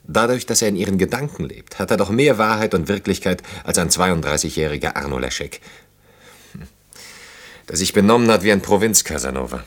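A very deep male voice plays an earnest man in his thirties. He is in love and blames himself, speaking intimately and thoughtfully, as in a radio drama. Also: expressive, brisk. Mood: agitated, arguing. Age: 30s. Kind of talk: German radio play acting.

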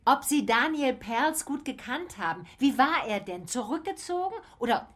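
An actress playing a matter-of-fact private detective in a German radio drama, politely probing in a dry way. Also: female voice, high voice, lively intonation, normal speed, fairly bright tone.

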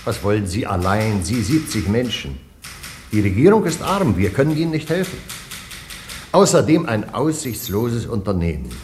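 An actor playing a dry, dismissive senior official in a German radio drama, curt and discouraging. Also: male voice, fairly low pitch, very animated, normal speed, a little mellow. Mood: dismissive, warning. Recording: faintly noisy radio play.

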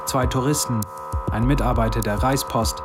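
A man's voice: mid-range voice, fairly even intonation, moderate pace. A man giving sober, factual scripted narration in a documentary radio drama. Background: music.

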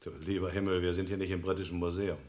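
A man's voice: deep voice, little pitch movement, quick pace, darkish tone, close to an everyday voice. A man acting in a vintage crime radio play as a suspicious museum restorer, brusque and sardonic. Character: gruff, suspicious male museum restorer warning a friend. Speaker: radio drama actor.

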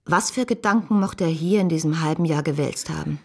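Husky, principled, wounded heroine. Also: female voice, deep voice, brisk, exaggerated voice. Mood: reflective, moved. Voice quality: husky, creaky. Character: principled, compassionate woman, wounded and reproachful narrator. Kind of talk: German literary radio drama, narrating and acting.

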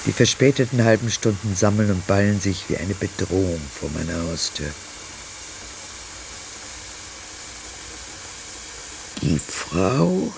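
An actress playing a very old, irritable, death-haunted woman in a literary radio drama monologue, husky and theatrical. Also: very deep voice, a little mellow, slight background noise, heavily acted, poor audio. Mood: scornful. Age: older. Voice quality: gravelly, husky.

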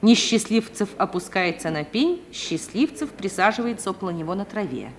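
Woman narrator, mid-pitched. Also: lively intonation, normal speed. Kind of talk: scripted narration of stage directions.

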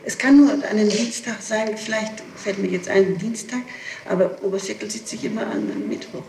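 A woman with a mid-pitched voice answers an interrogator, hesitating as she remembers, cutting in and correcting herself. The 1960s archive tape is very echoey, with some faint noise. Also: lively intonation, a little fast, vocal fry, a little mellow. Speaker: person being interrogated. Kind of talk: answering questions in a recorded interrogation.

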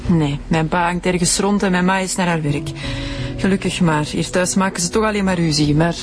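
A woman's voice: on the low side, a little fast, over music, close to an everyday voice. Actress as a frank young Flemish cleaning girl. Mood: resigned, casual. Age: young adult. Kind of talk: Flemish radio drama acting.

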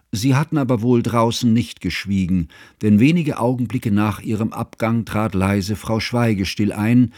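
Ein Schauspieler spielt den gefassten Erzähler eines literarischen Hörspiels und berichtet gemessen, förmlich und genau. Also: male voice, lowish voice, darkish tone, dry recording, clean studio sound. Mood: grave, observant. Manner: measured, formal, precise. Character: observant, composed male narrator recalling a friend's confession. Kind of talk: literary radio play narration.

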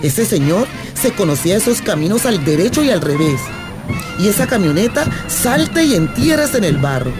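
A woman's voice: medium pitch, very animated, normal speed, over music, natural voice. An actress playing a romantic, adventurous woman in love, with a Colombian accent, in a radio drama. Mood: excited, storytelling. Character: romantic, adventurous woman devoted to distant lover.

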